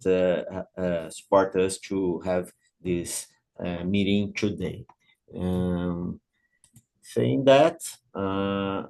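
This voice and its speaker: Slow, halting low-voiced Brazilian man. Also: a little mellow. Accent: Brazilian Portuguese. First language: Portuguese. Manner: halting, many filler pauses. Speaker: event host and committee coordinator. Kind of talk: opening remarks at an online business event.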